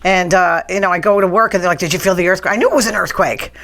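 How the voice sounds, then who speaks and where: A woman's voice: lowish voice, rapid-fire, fairly bright tone. A blunt, sarcastic woman hosting a casual talk show, speaking. Her voice sounds strained and worn, as if she nearly lost it. She rants in a chatty, freewheeling way. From New England. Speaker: podcast host.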